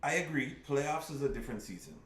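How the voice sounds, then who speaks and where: A middle-aged man lays out his sports argument point by point, earnest and insistent. His voice sits at an ordinary pitch. The mic is set back in an echoey room. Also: animated, fast, distant mic. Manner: earnest, argumentative, builds his case step by step. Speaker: podcast co-host. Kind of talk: casual sports debate.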